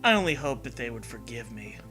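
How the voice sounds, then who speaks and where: A man's voice: mid-range voice, sing-song, fairly quick, sharp tone, soft background music. A man reading a scary story as a narrator, with dramatic, earnest delivery. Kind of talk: dramatic scripted narration of a creepypasta.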